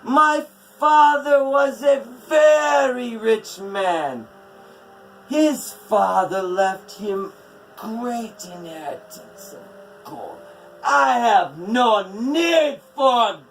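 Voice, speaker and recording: A man voicing a furious, vengeful female frost giantess who shouts her demands. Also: high-pitched, big pitch swings, takes it slowly, a little hiss or hum, pitched above the performer's own voice, full character voice. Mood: raging.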